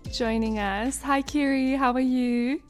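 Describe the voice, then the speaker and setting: A woman's voice: sits high, steady tone, measured pace, over music. A woman hosting an interview podcast, asking questions and giving short encouraging replies. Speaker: podcast host. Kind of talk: conversational interview.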